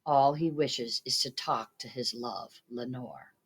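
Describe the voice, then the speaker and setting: Very low-voiced woman reading aloud. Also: takes it slowly, slightly rough. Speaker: student reader. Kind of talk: scripted story narration, reading aloud.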